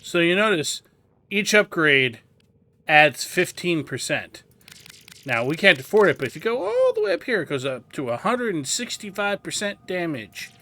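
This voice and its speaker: A man's voice: high voice, wildly swooping pitch, moderate pace, sharp tone. A man giving a casual game walkthrough, explaining things as he goes and thinking aloud. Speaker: gameplay guide host.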